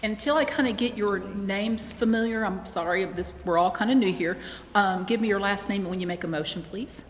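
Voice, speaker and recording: A woman's voice: medium pitch, brisk, few pauses. A woman working as meeting clerk, calling roll and making brief procedural remarks. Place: large indoor council chamber. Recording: reverberant chamber, faint background noise.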